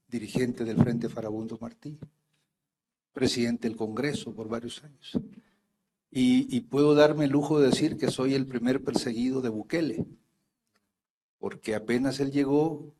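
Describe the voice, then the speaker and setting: An older man from El Salvador speaking from the floor at a public talk, measured and formal. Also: medium pitch, dark tone, slightly rough. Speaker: audience member, political exile.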